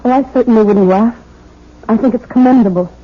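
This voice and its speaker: A woman's voice: fairly high pitch, fairly quick, slightly rough. An actress playing a fragile, distressed young American woman in an earnest, hesitant 1970s radio drama style. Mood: gentle. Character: fragile, distressed young woman convinced she imagined her marriage. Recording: muffled vintage radio broadcast.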